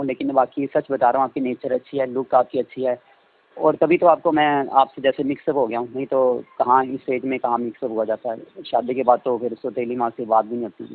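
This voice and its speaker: Male actor for a coaxing, flirtatious stepson in a phone-call drama; casual, mid-pitched, narrow telephone sound. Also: natural voice. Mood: flattering. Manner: suggestive, coaxing, hesitant. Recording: tinny narrow-band phone line.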